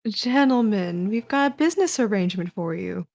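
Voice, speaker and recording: A woman's voice: medium pitch, expressive, normal speed, gravelly, darkish tone, dry recording. A woman playing a cool, ruthless agent in an audio drama, clipped, businesslike and condescending. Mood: cool, curt.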